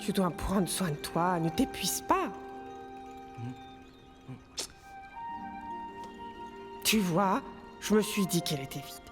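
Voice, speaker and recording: An actress voicing a gentle, middle-aged monster mother in an animated film, tender and fussing. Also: lowish voice, normal speed, lightly acted. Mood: tender, worried. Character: gentle, caring monster mother of a grown son. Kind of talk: animated film dub acting. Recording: long hall reverb, music behind.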